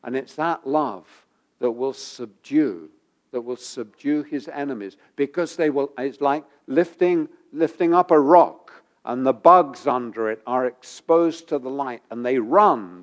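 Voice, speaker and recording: A man preaching a sermon while he has a head cold, his voice gravelly, pausing often with ums and uhs and repeating phrases for emphasis. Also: mid-range voice, animated. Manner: halting, many ums and uhs. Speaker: pastor.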